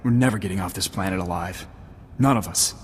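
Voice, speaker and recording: An actor playing an embittered, loyal soldier of a prisoner commando unit in a video game, intense and emotional. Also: male voice, low-pitched, a little fast, a little hiss or hum, lightly acted. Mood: despairing. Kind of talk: video game dramatic dialogue. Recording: long reverb, music underneath.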